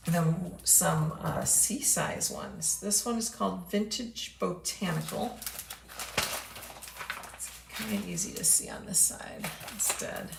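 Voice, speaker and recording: Woman showing off craft supplies, losing her voice, gravelly, echoey room w/ noise behind. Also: lowish voice. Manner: chatty, unhurried, clears throat often. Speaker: craft product host. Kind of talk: casual product showcase livestream.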